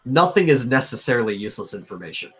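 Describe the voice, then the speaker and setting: Guy with average pitch, stream cohost, casual chat, kinda analytical explainer type. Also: sing-song, fast talker, a bit bright. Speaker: live stream co-host.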